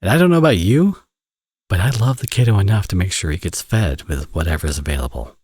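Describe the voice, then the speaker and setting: A man acts out a teasing villain turned doting father for an ASMR romance roleplay. His voice is low and husky, recorded close and dry. He sounds smug and playful, talking as if to an old flame. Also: animated, fast, darkish tone, no room sound. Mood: earnest, persuasive. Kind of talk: ASMR audio roleplay, romantic drama acting.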